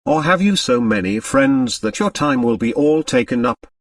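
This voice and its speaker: A man voicing a polite, probing male detective in an audiobook, courteous and deliberate. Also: medium pitch, animated, no echo, studio quality, far from the mic, natural voice. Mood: courtly.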